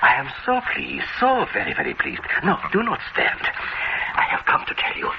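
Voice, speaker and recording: An actor playing a suave, secretive restaurant owner in a vintage radio drama, speaking with smooth, ingratiating, drawn-out phrasing. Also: male voice, on the high side, huge pitch swings, heavily acted. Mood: unctuous. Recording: muffled old radio recording with heavy hiss.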